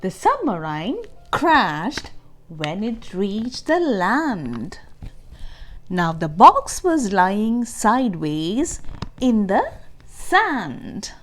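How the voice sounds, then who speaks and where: A woman reading a children's storybook aloud as host, expressively and deliberately, adding explanations and questions for young listeners. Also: medium pitch, wildly swooping pitch, fairly bright tone.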